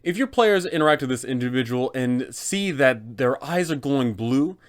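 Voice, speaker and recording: A man's voice: medium pitch, animated, moderate pace. The kind of man heard hosting an online tabletop roleplaying guide, reading scripted advice to game masters in a mid-range voice.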